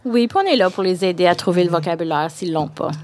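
Measured woman principal. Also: lowish voice, a little mellow, a little hiss or hum. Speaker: school principal. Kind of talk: interview answers. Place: inside a parked truck.

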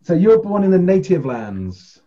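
A man's voice: high voice, very animated, normal speed, highs cut off. A man casually running a tabletop role-playing game and explaining the rules as game master.

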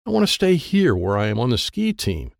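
A man's voice: medium pitch, wildly swooping pitch, a little fast, vocal fry, dry recording, studio quality, voice pushed up. A man voicing a stubborn, bossy, sporty older girl in a children's audiobook. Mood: indignant, upset. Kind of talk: children's audiobook character dialogue.

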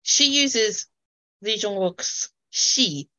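A woman's voice: medium pitch, animated, very slow, pushed and bright, rough recording. A woman co-hosting a podcast in casual chat, asking questions while thinking aloud with restarts. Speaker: podcast co-host.

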